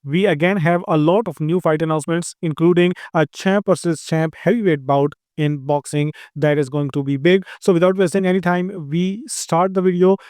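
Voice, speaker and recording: A man's voice: fairly high pitch, fairly even intonation, darkish tone, no room sound, clean studio sound. Indian-accented man hosting fight news. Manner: rapid, run-on delivery with frequent "uh" fillers. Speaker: host. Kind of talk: combat sports news roundup.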